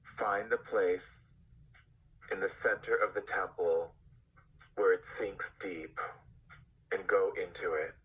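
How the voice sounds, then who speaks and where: A man guides a meditation in a low voice at a very slow pace. He gives breathy, hypnotic instructions and leaves long pauses between them. The tone has a bright, forceful edge. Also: fairly even intonation, poor audio.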